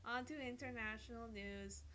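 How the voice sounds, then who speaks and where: Woman as a high, bright, perky morning announcer. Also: steady tone, moderate pace, slight background noise. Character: perky morning announcer, cheerfully reporting grim disasters.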